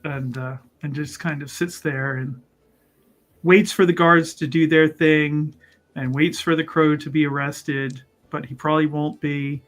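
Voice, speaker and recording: Slow-paced, higher-voiced man playing tabletop. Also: level tone. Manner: hesitant, repeats words, chuckles often. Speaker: tabletop roleplaying player. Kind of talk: casual improvised narration of own character's actions. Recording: music under voice. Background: background music.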